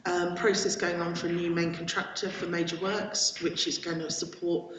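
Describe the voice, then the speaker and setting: A councillor answers a question at a council meeting in a flat, mid-pitched voice. The delivery is hesitant and breaks off to restart phrases. The voice is set back from the mic in an echoey room. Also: normal speed, distant mic, slight background noise. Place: council chamber.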